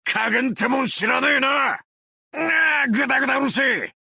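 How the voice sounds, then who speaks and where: A man playing a brash, cocky explosives fanatic with a tail in an anime game, talking in rough, swaggering slang with a gravelly voice. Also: very high-pitched, fairly quick, pushed and bright, no echo, shouting, studio quality, full character voice. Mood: bossy, fired up. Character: brash tailed male explosives fanatic, cocky bureau officer. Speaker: game character voice lines. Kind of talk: Japanese anime game voice acting.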